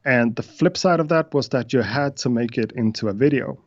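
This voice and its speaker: A man from Sweden with a Swedish accent, a guest beatmaker chatting casually in an interview over a video call, often pausing with uh and um. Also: mid-range voice, highs cut off. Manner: hesitant, many uhs and restarts.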